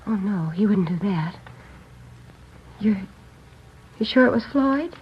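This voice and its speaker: Worried 30s seamstress, hesitant, stammery, old radio drama sound, a bit dull and hissy. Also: female voice, medium pitch, animated, slow. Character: anxious devoted seamstress woman, thirties. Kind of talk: 1950s radio drama acting. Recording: muffled old radio broadcast with hiss.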